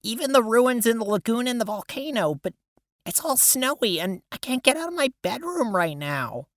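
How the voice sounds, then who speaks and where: A woman voicing a friendly, slightly scatterbrained teenage girl in an audio drama, earnest and expressive. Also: fairly high pitch, normal speed, no echo, studio quality. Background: music.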